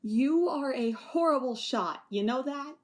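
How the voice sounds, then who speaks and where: Young woman doing a sarcastic ex-cop with a guilty conscience, kinda high voice, dry jokes. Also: lively intonation, a little slow. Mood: wry. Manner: wry, sarcastic, turning impassioned. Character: guilt-ridden former police officer Riley, woman. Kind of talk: amateur audio drama acting.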